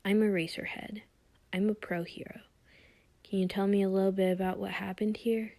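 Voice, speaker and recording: Casting: woman as protective male hero teacher in an audio story, mellow and creaky, measured, reassuring. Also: medium pitch, flat delivery, close to an everyday voice. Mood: concerned, soothing.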